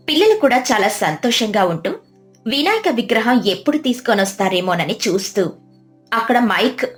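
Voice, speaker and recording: A woman narrating a scripted children's moral story as a storyteller. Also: a little high. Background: music.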